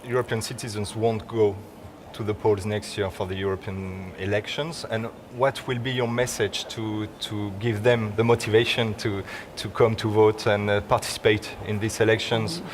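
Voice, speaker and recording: A man with a fairly low voice moderates a panel, putting questions to each guest in turn. His questions come with hesitant restarts. A faint hiss sits beneath him. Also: level tone, normal speed, hardly any pauses. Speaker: debate moderator. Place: debate hall in a parliament building.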